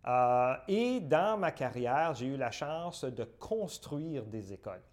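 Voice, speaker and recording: A middle-aged man from Northern Ontario, answering interview questions in measured, list-like phrases with frequent hesitations. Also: mid-range voice, expressive, darkish tone. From Northern Ontario, Canada. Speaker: guest, community centre director.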